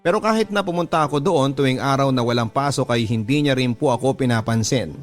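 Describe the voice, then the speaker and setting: A man's voice: mid-range voice, fast. Filipino man narrating, music behind. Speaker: radio drama storyteller reading a letter. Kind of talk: scripted first-person narration, Tagalog radio drama.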